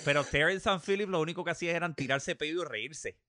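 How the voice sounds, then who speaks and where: Bright-voiced, salty Puerto Rican man. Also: a little high, quick pace, dry recording, studio quality. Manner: informal, salty slang. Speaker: interview guest. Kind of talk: casual chat.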